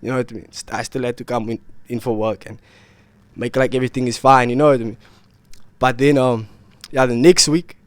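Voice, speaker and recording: A man's voice: medium pitch, steady tone, fairly quick, no echo. A sick young man, telling a rambling, slang-filled story about his illness to his vlog viewers. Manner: slangy, rambling, repeats himself. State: ill and worn out. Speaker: vlogger. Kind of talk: casual storytelling to camera.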